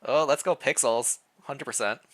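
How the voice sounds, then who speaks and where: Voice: mid-range voice, normal speed. A streamer reading interactive fan fiction aloud, with playful asides between the narration. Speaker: streamer, reader.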